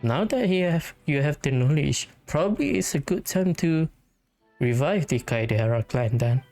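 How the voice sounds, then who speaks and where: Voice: medium pitch, lively intonation, normal speed, darkish tone. A gamer giving casual, hesitant play-through commentary, thinking aloud. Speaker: gamer, let's play host. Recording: game music behind the voice. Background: game music.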